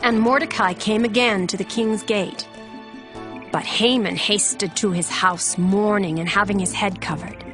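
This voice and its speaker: A woman's voice: mid-range voice, steady tone, a little slow, over music. A woman reading scripted narration for a dramatized scripture recording.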